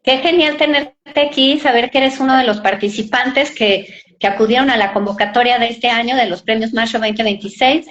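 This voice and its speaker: A woman's voice: fairly high pitch, fairly quick, a bit bright. Woman, Mexican accent, interview host, conversational delivery, slightly dull lo-fi call audio.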